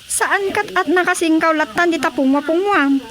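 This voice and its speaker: A woman playing a fussy, officious daughter and village secretary in a comedic radio drama, with an Ilocano Filipino accent and a brisk, scolding manner. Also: very high voice, fairly bright tone. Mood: insistent. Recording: crowd noise behind.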